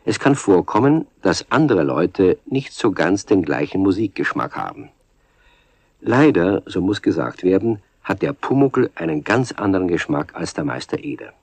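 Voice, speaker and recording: A man narrating a scripted children's radio play in a husky voice, as a storyteller. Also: low voice, sing-song, normal speed, dry recording.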